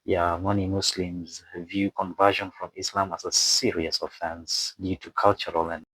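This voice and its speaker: A man who is not a native English speaker narrates an explainer from a script with asides, haltingly, stumbling over words and repeating phrases. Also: low voice, level tone, a bit bright, breathy edge, no echo, poor audio. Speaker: video presenter and narrator.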